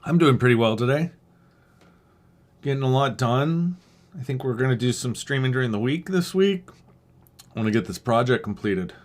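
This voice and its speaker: A man streams game development from a computer desk in a casual register. He talks through the work as it happens, thinking aloud, and breaks off to answer chat. The pitch sits in an ordinary middle range. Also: animated, normal speed, long pauses. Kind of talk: casual livestream work commentary.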